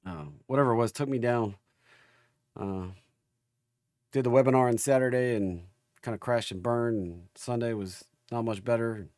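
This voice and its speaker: Guy with a gravelly voice getting over being sick, chatting casually on a stock livestream, folksy, dry close sound. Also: medium pitch, moderate pace, long pauses, darkish tone, no room sound. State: recovering from an illness. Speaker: host of a stock market livestream. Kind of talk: casual livestream chat and market commentary.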